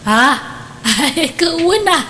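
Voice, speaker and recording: A woman's voice: very high voice, expressive, slow, pushed and bright, slight background noise, exaggerated voice. A woman acting as a kindly, affectionate older mother in an Ilocano radio drama. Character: kindly elderly mother and employer, affectionate, patient.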